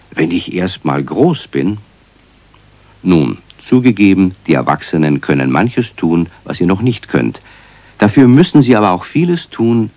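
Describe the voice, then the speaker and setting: A man narrating a children's radio story in scripted style, addressing young listeners directly with clear articulation. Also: low-pitched, darkish tone, no echo.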